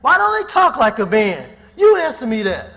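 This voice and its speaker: A warm-hearted, stubborn runaway in a radio play. His voice is strikingly high and bright, swooping in pitch, with a folksy Southern dialect and emphatic delivery. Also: wildly swooping pitch, normal speed, creaky, full character voice. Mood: stubborn, puzzled. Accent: American Southern. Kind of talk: radio drama acting.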